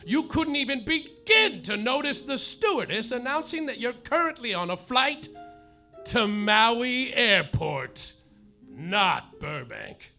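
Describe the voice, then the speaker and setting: A man playing a boozy, hard-boiled male private eye, narrating in a mock-noir deadpan that drags out its punchlines, live on a stage over music. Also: very high voice, slow pace, vocal fry. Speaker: comedic detective narrator. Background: live music and audience laughter.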